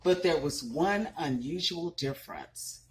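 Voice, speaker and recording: A woman in her thirties reads a children's storybook aloud in a lower voice that rises and falls a great deal. She takes her time, breaking off to ask the listeners questions. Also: unhurried, slight background noise. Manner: expressive, asks listeners questions. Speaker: parent reading to children. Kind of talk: reading a picture book aloud with asides.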